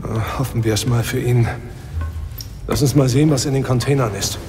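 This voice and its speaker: A man's voice: medium pitch, a little fast, darkish tone, lightly acted. An actor playing a wisecracking adult male space adventurer, dry and teasing. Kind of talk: audio drama acting. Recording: music bed under voice.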